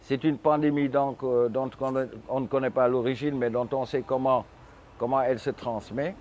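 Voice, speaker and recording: An older man in his sixties speaks with a Senegalese accent at a middling pitch. He answers interview questions reflectively, choosing formal words with deliberate, articulate care. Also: steady tone, darkish tone. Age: 60s. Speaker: musician interviewee.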